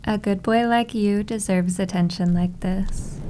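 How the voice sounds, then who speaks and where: Woman playing a slow-talking, cooing yandere maid. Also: mid-range voice, steady tone, warm tone, a little hiss or hum, lightly acted. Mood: cooing, teasing. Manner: cooing, sugary, drawn-out endearments. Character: doting, possessive, teasing yandere maid girlfriend. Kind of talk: slow ASMR roleplay acting.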